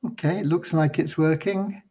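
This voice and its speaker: A man from Cornwall hosting an informal livestream, talking in an unhurried, earnest, slightly preachy way. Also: a little high, fairly even intonation, dark tone, breathy edge. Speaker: livestream host and community leader. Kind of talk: informal livestream updates with devotional talk. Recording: dull lo-fi livestream audio.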